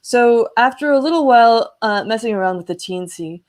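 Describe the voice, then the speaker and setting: A woman's voice: fairly high pitch, lively intonation, a little fast, a little mellow. A woman talking casually and enthusiastically to viewers as the host of a tech live stream. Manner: enthusiastic, exclaiming.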